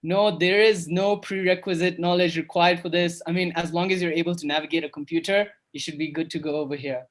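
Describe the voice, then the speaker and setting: A man's voice: a little high, fairly even intonation, fairly quick, few pauses. A young man raised in Kenya hosting an online coding workshop over a video call, upbeat and chatty with lots of fillers. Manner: upbeat, filler-heavy, repeats "awesome". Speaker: workshop host. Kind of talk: casual online workshop talk.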